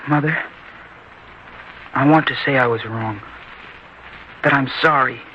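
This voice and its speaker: An actor playing an earnest, stubborn young son in an old-time radio drama, speaking in an eager, theatrical way. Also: male voice, medium pitch, a little slow, lightly acted. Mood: humbled. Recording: dull, noisy old-time radio recording.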